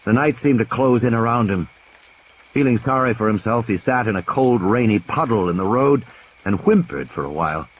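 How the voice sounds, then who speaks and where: A man reads a dramatic story as an audiobook narrator. His medium-pitched voice moves widely up and down with deliberate, suspenseful phrasing. Faint nature ambience plays behind him. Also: animated, a little hiss or hum, poor audio. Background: cricket-like night ambience sound effects.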